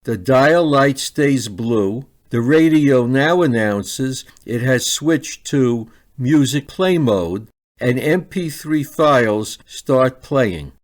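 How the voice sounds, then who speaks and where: A man reading a scripted, step-by-step product review in a measured, instructional manner. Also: medium pitch, lively intonation.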